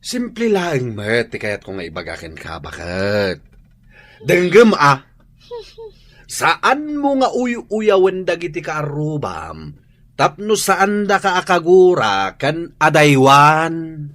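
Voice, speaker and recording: A woman's voice: sits low, very animated, slow, fairly bright tone, full character voice. An actress with an Ilocano accent playing a feisty, resentful village woman, scolding melodramatically in a comic radio drama. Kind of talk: comedic radio drama acting.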